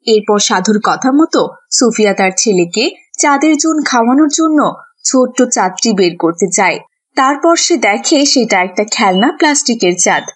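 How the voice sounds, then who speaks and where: A woman's voice: fairly high pitch, animated, normal speed, breathy edge. A woman narrating a scripted children's cartoon story.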